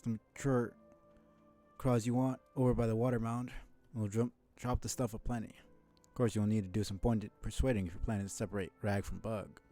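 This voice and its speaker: A man voicing a gruff, grateful tavern keeper in a video game, with folksy, old-fashioned phrasing. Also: lowish voice, steady tone, fairly quick, warm tone, soft background music, natural voice. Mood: instructing.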